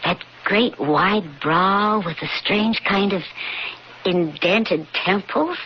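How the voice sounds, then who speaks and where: A devoted lawyer wife in a 1980s radio drama. Her medium-pitched voice is earnest and encouraging, with dramatic emphasis. The old broadcast sounds dull, with faint hiss. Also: animated, slow. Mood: fascinated, uneasy.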